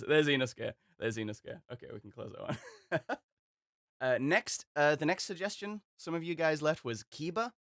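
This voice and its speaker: A man's voice: mid-range voice, fairly bright tone, no room sound, studio quality. A young man chatting casually as host of a voice acting video, rambling and self-deprecating. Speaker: voice acting YouTuber. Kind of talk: casual chat.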